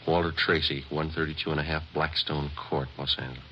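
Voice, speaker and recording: An actor playing a terse, matter-of-fact police sergeant who narrates and questions people in a clipped, deadpan way, in an old radio drama. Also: male voice, a bass voice, a little fast, slight background noise. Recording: muffled vintage radio broadcast.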